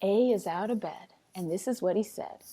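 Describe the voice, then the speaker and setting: A woman's voice: mid-range voice, normal speed, dark tone, slight background noise. A woman reading a picture book aloud like a teacher, with a sing-songy, playful, dramatic delivery and chatty asides.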